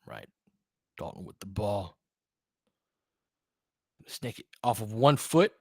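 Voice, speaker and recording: A man's voice: fairly low pitch, sing-song, slow pace, no echo. Dry, close-sounding man calling live basketball. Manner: clipped, slangy, conversational phrasing; partisan fan of one team. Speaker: basketball play-by-play commentator. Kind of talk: informal live play-by-play commentary with analysis.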